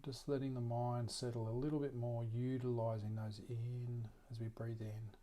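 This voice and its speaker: Even-toned man guiding a meditation. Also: mid-range voice. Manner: gentle, unhurried, with long pauses. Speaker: meditation teacher. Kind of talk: guided meditation.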